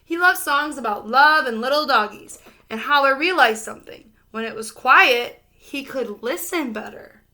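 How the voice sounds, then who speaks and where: Female storybook reader, high-pitched and very bright, expressive narration for young children. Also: normal speed.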